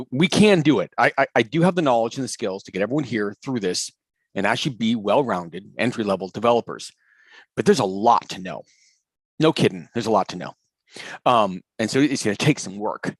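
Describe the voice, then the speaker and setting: A man leads a live coding class over a video call, his voice on the low side and recorded close and dry. He talks in an eager, rambling way, full of fillers and restarts, with no room sound around him. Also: dry recording. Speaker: coding course instructor.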